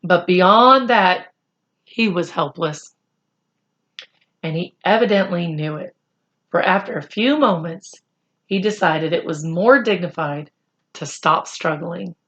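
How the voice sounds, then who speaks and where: A woman reading a children's chapter book aloud in a deliberate, expressive storytelling style. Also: lowish voice, lo-fi sound. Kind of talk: read-aloud storytelling narration.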